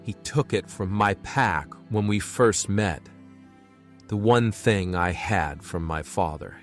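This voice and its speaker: A man's voice: lowish voice, slow, soft background music. An actor playing a weary, resigned man stricken with plague in an audio drama, speaking solemnly.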